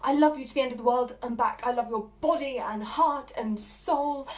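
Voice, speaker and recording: A woman acting a theatre monologue as a flustered, exasperated partner, halting and repeating herself as she argues. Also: high voice, natural voice. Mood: indignant, sarcastic. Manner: halting, stammering, repeating herself, bursts of agitation. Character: flustered, exasperated woman answering her partner's unwelcome proposal.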